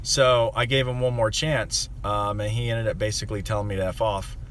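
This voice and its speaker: A man's voice: fairly low pitch, steady tone, fairly quick, a bit bright, slight background noise. A young man who runs a landscaping business, rambling with lots of fillers as he vents to the camera. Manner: rambling and aggrieved, many fillers. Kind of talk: casual monologue to camera.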